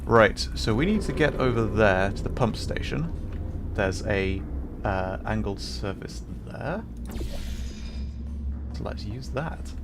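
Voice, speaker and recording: A man's voice: fairly low pitch, lively intonation, slow pace, pauses often. A man livestreaming a video game, giving casual, rambling commentary with hesitant, amused asides. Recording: noisy stream with game ambience.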